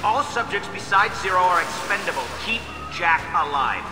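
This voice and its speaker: A man's voice: sits high, animated, normal speed. An actor playing an urgent male security officer giving a clipped, alarmed report in a video game log. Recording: echoing hall reverb under loud music.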